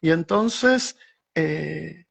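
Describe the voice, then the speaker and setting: A man's voice: on the high side, expressive, creaky, fairly bright tone, breathy edge. A middle-aged Argentine man with an Argentine Spanish accent, a guest writer answering thoughtfully in a casual interview over a video call, often restarting his phrases. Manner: reflective, with frequent restarts and slow stretches.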